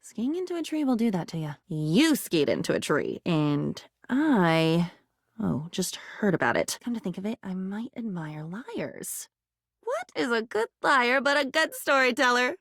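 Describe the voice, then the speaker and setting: A woman voicing a whimsical, neurotic living diary in a video game, with a theatrical, rambling way of talking that swings between wonder and excitement. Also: on the high side, measured pace, dry recording, clean studio sound, heavily acted. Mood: scattered, playful. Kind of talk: video game character acting.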